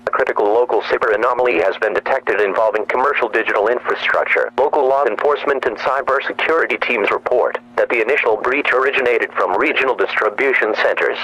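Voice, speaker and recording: Male emergency alert announcer, mid-pitched, impersonal and measured, on a faintly noisy radio-style broadcast. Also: fairly bright tone, lo-fi sound.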